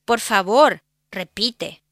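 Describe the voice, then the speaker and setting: Man in falsetto as a shy teen girl, careful and soft, storybook read-aloud. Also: slow, dry recording, clean studio sound. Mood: gentle. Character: polite fifteen-year-old girl visiting family.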